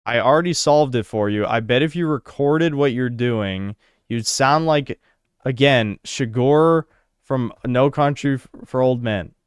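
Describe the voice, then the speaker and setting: A man's voice: mid-range voice, a little slow, smooth, no room sound, studio quality. A young American man streaming, reading donation messages and answering chat in a blunt, crude, sarcastic way. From United States.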